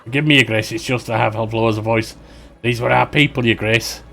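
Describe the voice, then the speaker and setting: A man's voice: medium pitch, steady tone, a little fast, gravelly, a bit bright. A man voicing a loyal village steward who speaks with deference and pleads for mercy. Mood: apologetic.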